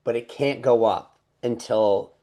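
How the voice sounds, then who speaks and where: A middle-aged man co-hosting a casual political chat, talking loosely with many restarts and quick laughs. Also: mid-range voice, warm tone. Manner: chatty, full of restarts and laughs. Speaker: podcast co-host.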